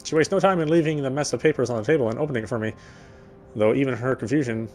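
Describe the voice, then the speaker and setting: An actor playing a guarded, dry-witted young man who cares quietly, speaking his inner thoughts and dialogue in a wry, introspective way. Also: medium pitch, rapid-fire, faint music behind, close to an everyday voice. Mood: reflective. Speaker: game protagonist. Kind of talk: first-person inner monologue and dialogue.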